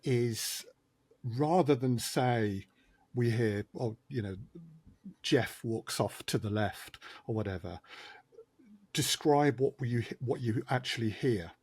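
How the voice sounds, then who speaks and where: A man's voice: medium pitch, slow pace, a little mellow, husky, no room sound. A man talking as a sound designer and podcast guest, thoughtful and wry, with frequent hesitations, in a casual discussion.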